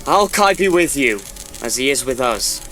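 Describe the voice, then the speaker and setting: A man's voice: mid-range voice, lively intonation, normal speed, a bit bright, soft background music, lightly acted, low-quality recording. A man playing an earnest, steadfast commander in a fantasy audio drama. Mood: solemn, vowing.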